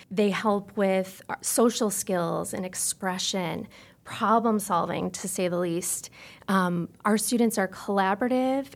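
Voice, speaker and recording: An American woman speaking as an interview guest, an articulate teacher explaining and promoting a school program. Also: mid-range voice. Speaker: arts teacher, podcast guest. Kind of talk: informational interview answers.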